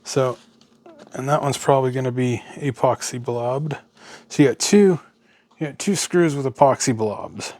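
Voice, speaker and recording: A man's voice: mid-range voice, moderate pace, darkish tone, no echo. An American man giving casual, unscripted commentary as he works at a bench, muttering to himself in short, trailing remarks. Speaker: host of an electronics teardown video. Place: indoors at a workbench. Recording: clearly noisy home recording, sometimes off-mic.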